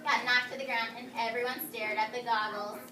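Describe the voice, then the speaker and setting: Animated high-voiced woman reading to children, echoey. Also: moderate pace. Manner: expressive, dramatic, encouraging. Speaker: teacher reading a picture book aloud. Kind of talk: animated read-aloud to young children, with questions and asides. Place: indoor room with a group of children. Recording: distant, echoey, noisy room capture.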